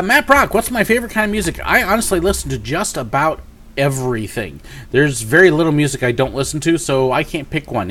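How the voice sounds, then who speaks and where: Man in his 40s, upbeat live-stream host, lively up-and-down delivery, fairly high voice, slight sniffle. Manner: chatty, upbeat, rambling with many fillers. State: sniffly from a cold. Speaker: YouTube host. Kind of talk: live Q&A and mail call. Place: home office with shelves of collectibles.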